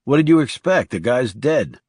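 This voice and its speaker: Give me a man playing a terse, guarded male assassin from a thriller audiobook, medium-pitched and close. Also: big pitch swings, moderate pace, darkish tone, husky, dry recording. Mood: guarded, blunt. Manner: terse, questioning. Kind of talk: audiobook character dialogue.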